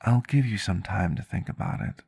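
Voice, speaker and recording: A man playing a gentle, earnest vampire doctor in an ASMR roleplay, speaking in a measured, reflective and rather formal way with a gravelly voice. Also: on the low side, heavily acted. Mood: gentle, reassuring. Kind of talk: ASMR roleplay, soft intimate storytelling to the listener.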